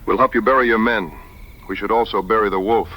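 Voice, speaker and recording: Male actor as rugged frontier hunter, lowish forceful voice, folksy and plainspoken, audio adventure drama, music underneath. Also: fairly even intonation, fast, rough recording. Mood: humble, solemn.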